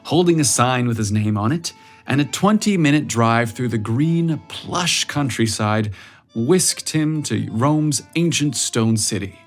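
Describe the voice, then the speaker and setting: A man reading a scripted story as its narrator. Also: mid-range voice.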